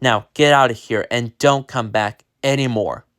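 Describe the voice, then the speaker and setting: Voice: mid-range voice, moderate pace, fairly bright tone, dry recording, studio quality, close to an everyday voice. A reader voicing a stern, disappointed male school principal scolding a student.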